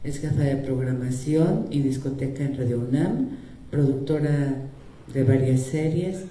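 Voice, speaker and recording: A man's voice: medium pitch, unhurried, mellow. A man with a Mexican Spanish accent moderating a conference and introducing the next speaker, with a somewhat hesitant delivery. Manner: hesitant, with fillers and restarts. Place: lecture hall. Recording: echoey hall.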